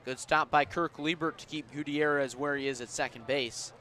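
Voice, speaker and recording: A man calling baseball live on the radio, measured between pitches and punchier on plays, as an announcer does. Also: fairly even intonation, pushed and bright. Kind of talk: live radio sports commentary. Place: outdoor baseball ballpark. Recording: outdoor ballpark ambient noise.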